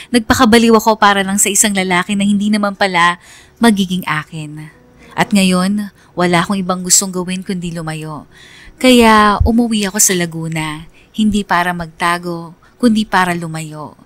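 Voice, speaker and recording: A woman's voice: on the low side, fairly bright tone, natural voice. A woman playing a hurt young woman, narrating her heartbreak in a wistful, reflective radio drama style. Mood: hurt, bitter. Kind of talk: scripted first-person radio drama narration.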